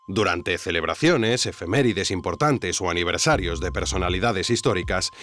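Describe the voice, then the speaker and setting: A man playing a wry investigative narrator, reading scripted documentary narration in an audio drama. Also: fairly low pitch. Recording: faint music bed.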